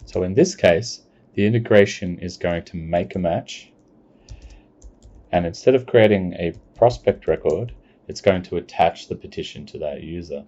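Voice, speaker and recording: Brief: man, low mellow voice, explains a software demo step by step, dull lo-fi mic. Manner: plain step-by-step explaining, unhurried. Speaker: software demonstrator. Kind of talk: screencast product walkthrough. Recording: dull lo-fi recording.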